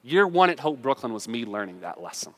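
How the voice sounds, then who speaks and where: A man's voice: mid-range voice, big pitch swings, brisk. A man preaching as a pastor in a conversational, impassioned sermon, with emphatic delivery and rhetorical turns. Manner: emphatic, swings between forceful declaration and quiet confiding, rhetorical questions. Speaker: pastor preaching to his church. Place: large echoing room, preaching to a congregation. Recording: reverberant hall.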